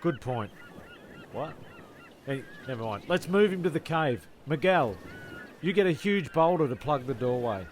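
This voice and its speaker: A man with a gravelly voice acting out scripted comedy dialogue. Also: fairly high pitch, animated, measured pace, a little hiss or hum. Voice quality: gravelly, creaky.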